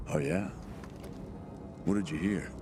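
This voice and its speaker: An actor playing a protective, easygoing squad lieutenant in a video game cutscene, gruffly joking and swearing. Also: male voice, deep voice, slow. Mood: wary, confiding. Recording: game music behind the voice.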